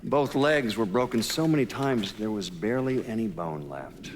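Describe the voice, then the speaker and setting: A sober investigator acted by a man recounts an old case in a low voice. The delivery is measured and factual, like a documentary interview in a game, with faint noise behind. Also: animated, natural voice. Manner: measured, matter-of-fact.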